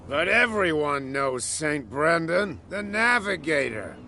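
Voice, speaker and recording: A gravelly, slow-talking island drunk. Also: male voice, fairly high pitch, big pitch swings, sharp tone, slight background noise, exaggerated voice. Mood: gruff. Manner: gruff, grumbling, suspicious. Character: gruff, idle male island drunk, protective of his saint. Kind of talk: video game voice acting.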